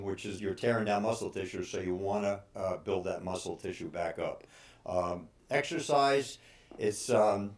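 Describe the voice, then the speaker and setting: A man in his sixties giving informal advice as a personal trainer, speaking haltingly with frequent pauses. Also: lowish voice, a little mellow. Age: 60s. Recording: roomy, echoing room sound.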